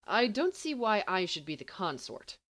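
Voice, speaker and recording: A woman's voice: medium pitch, very animated, fairly bright tone, pitched above the performer's own voice. Audiobook role: dry-witted bookish teenage boy voiced by a woman, exasperated, grumbling, with very varied intonation. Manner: grumbling, sardonic, halting when flustered.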